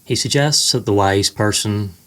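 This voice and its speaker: A man narrating a scripted guided meditation in a slow, soothing, measured way. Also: fairly low pitch, a bit bright.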